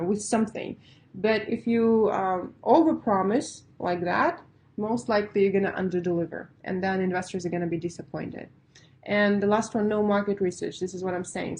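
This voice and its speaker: Russian-native woman coaching, mellow voice. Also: mid-range voice. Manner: emphatic, direct, laughs lightly, stacks repeated phrases. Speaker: pitch coach. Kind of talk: coaching talk to startups.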